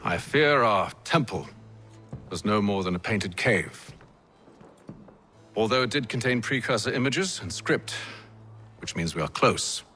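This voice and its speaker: An actor playing a composed, commanding gentleman leader of a secret order in a video game, speaking formally. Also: lowish voice, expressive, faint music behind. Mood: composed, authoritative. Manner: formal, solemn, measured. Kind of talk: dramatic video game acting.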